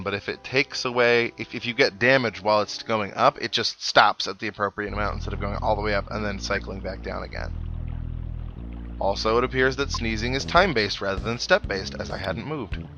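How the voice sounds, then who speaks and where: A man's voice: on the low side, fairly quick, dull audio. A man giving casual video game commentary in a wry, sarcastic, mock-exasperated way. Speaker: host of a video game playthrough. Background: video game music.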